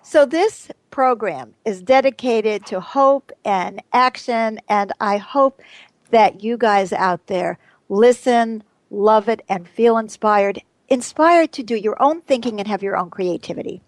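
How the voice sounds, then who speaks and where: A woman's voice: on the high side, a little slow, no room sound. A woman hosting a radio panel discussion, bubbly and emphatic, laughing often as she introduces her guests. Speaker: radio show host.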